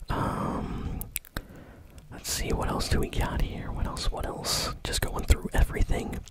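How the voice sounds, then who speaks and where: Whispering ASMR gaming news host. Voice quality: breathy whisper. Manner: soft-spoken, casual, unhurried chatter.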